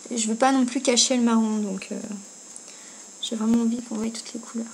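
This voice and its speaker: High-voiced woman, casual tutorial. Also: fairly even intonation, a little fast, rough recording. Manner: informal, thinking aloud as she works. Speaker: makeup tutorial vlogger. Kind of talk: casual step-by-step tutorial chat. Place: indoors at home. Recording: noticeable background noise, amateur home video.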